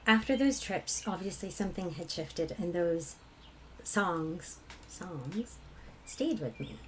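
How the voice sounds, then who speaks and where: Husky low-voiced woman from england telling stories, reflective, faint hiss behind her. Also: expressive, takes it slowly, a bit bright, poor audio. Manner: reflective, laughs often while telling stories. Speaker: kirtan singer. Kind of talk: conversational personal storytelling.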